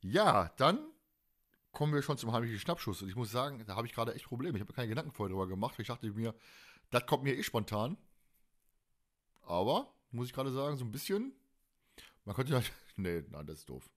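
A man's voice: mid-range voice, big pitch swings, fairly quick, a bit bright. A man hosting a casual fan podcast, talking in a colloquial, opinionated and blunt way. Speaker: podcast host.

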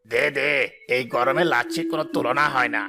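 A man's voice: mid-range voice, brisk, natural voice. A man voicing a boastful, bossy village headman in a cartoon. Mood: self-satisfied. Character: boastful, self-important village headman, showy and greedy. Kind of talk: Bengali cartoon dubbing.

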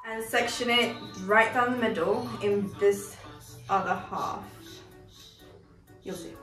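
A woman's voice: mid-range voice, slow pace, a bit bright, recorded from a distance. A young woman chatting through a hair tutorial on her toddler, explaining each step and correcting herself as she goes. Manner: chatty, instructive, self-correcting. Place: living room with Christmas decor. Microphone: far camera. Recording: echoey room audio with background music.

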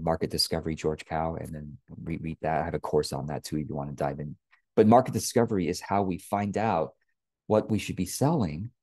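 Mellow low-voiced Californian man, casual coaching. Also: no echo. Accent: Californian American. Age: late forties. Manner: informal, animated emphasis, talks directly to the listener. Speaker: business coach. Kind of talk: casual, conversational advice to camera. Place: small indoor room at home. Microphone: wired earphone headset on a webcam.

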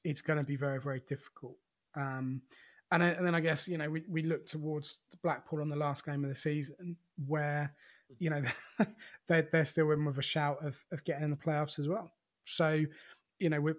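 A man co-hosting a football podcast, talking in a relaxed, conversational way with frequent "you know"s and restarts. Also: a little high. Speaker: podcast co-host. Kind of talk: casual football podcast chat.

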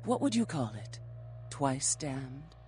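An ancient flesh-eating vampire woman. She is philosophical and formal, posing cryptic questions with cool menace. Her pitch moves widely over faint music. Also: big pitch swings, slow, dark tone, exaggerated voice. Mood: cryptic, menacing. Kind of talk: video game character acting.

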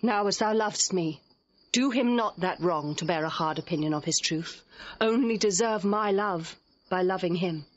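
Young noblewoman in Shakespearean radio play woman performer: headstrong, romantic, lyrical, breathy-husky tone, slightly dull recording. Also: on the low side, moderate pace, dry recording, close to an everyday voice. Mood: earnest, devoted. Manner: ardent, lyrical verse delivery. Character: lovestruck, headstrong young noblewoman, eloquent and playful. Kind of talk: classical Shakespearean audio drama.

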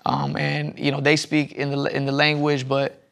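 Young man telling stories, gravelly. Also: a little high, completely flat delivery, normal speed, a bit bright. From Southern United States. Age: young adult. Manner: rambling storytelling with restarts. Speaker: podcast guest. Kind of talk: casual interview chat. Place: studio lounge set. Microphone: lapel mic.

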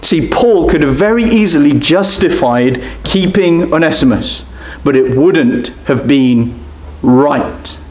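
A young man preaching a sermon at a lectern, animated and gesturing, dropping to near-whispers at times. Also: on the high side, slow pace, few pauses, soft background music. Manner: animated, gesturing preaching with sharp drops to quiet. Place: church hall at a lectern. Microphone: lectern mic from a distance. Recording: echoey church room.

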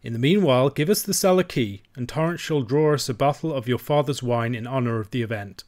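Man playing a courteous, formal gentleman lawyer. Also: mid-range voice, fairly quick, natural voice. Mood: kindly, formal. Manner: formal, civil, wordy. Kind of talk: audiobook character voice.

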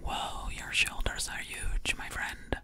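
A woman's voice: slow pace, pushed and bright. A young woman voicing a sassy, bossy alien girl in an ASMR roleplay, whispering breathily up close and repeating short phrases insistently. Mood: insistent, curious. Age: young adult. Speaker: ASMR performer. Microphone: close to the mouth.

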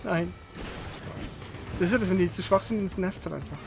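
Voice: medium pitch, fairly quick, gravelly. A streamer giving casual live commentary on a video game, thinking aloud and asking questions while playing. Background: game music.

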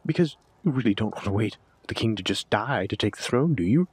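Cowardly, self-important duke for audio drama, male actor: husky, faltering, pleading, recorded dry. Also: on the low side, expressive, fast, darkish tone, dry recording. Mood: wary, scheming. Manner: stammering, pleading, pompous. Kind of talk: scripted villain roleplay audio drama.

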